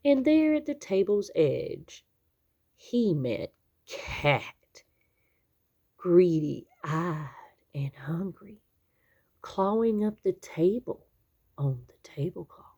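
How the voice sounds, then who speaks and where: A woman reading a children's picture book aloud expressively, with dramatic, drawn-out emphasis on key words. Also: on the low side, very animated, dark tone. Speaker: children's story reader.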